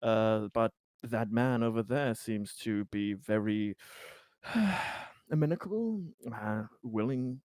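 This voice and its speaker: A man playing an aging, smooth-talking, manipulative politician who flatters and persuades with halting pauses in a tabletop game. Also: lowish voice, dry recording, clean studio sound. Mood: flattering persuasion.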